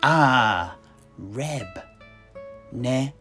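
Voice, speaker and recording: A man's voice: medium pitch, expressive, drawn-out words, sharp tone, full character voice. A man playing a genial, bookish tavern keeper and amateur historian who talks in a hesitant, musing, polite way. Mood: intrigued, puzzling. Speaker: host and narrator of a fictional history chat. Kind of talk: in-character lore storytelling, unhurried. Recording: music playing behind the voice. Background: guitar-like instrumental music.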